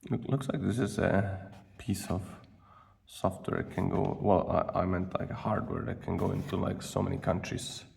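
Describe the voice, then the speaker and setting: A man with a lowish voice and heavy vocal fry talks through an unboxing. He is casual and unscripted, pausing as he thinks aloud. The mic sits at a distance, with faint noise behind him. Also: steady tone, darkish tone. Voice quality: gravelly, creaky. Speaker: vlogger presenting an unboxing.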